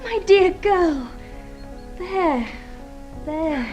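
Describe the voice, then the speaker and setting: Gushing young woman, very high-pitched. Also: animated, darkish tone, soft background music, heavily acted. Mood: solicitous. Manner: effusive, drawn-out delivery. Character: gushing, emotional young woman, solicitous friend. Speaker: actress in a radio mystery drama. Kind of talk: British radio drama acting.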